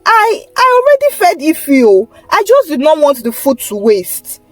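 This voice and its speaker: A woman's voice: sits high, wildly swooping pitch, a little slow, no room sound, faint music behind, pitched above the performer's own voice, full character voice. A woman voicing a greedy, eager young niece in a folktale, full of pleading excuses.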